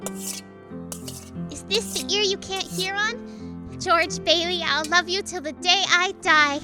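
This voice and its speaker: A woman's voice: extremely high pitch, takes it slowly, music in the background. A woman playing a sweet, smitten but stubborn little girl in a radio play, coy and girlish. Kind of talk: 1940s radio play acting.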